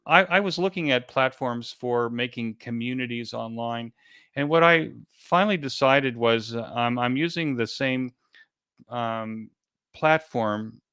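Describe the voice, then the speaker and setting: A man with a clear, smooth voice answers as a guest in a conversational interview. He explains things haltingly, pausing and repeating words as he goes. The sound is dry, with very little room in it. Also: medium pitch, a bit bright, dry recording. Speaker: interview guest, event organizer.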